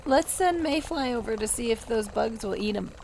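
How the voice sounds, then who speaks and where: Lady playing a tabletop game, high voice, unhurried, wry, noticeable background noise. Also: animated, a little mellow. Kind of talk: casual playful table chat.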